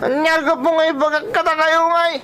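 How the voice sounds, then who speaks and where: Very high, sulky Filipino youngster. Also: female voice, steady tone, a little fast, a bit bright, slight background noise. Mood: defiant, sulky. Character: defiant, sulky young grandchild demanding money. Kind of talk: radio drama acting.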